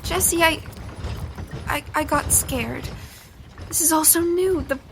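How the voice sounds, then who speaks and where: A woman's voice: sits high, slow pace, a bit bright, a little hiss or hum, exaggerated voice, low-quality recording. A woman playing an earnest, anxious young heroine in a first-person audio drama, with a dramatic delivery. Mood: panicked, pleading. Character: anxious young woman heroine, resourceful, narrating her own mystery.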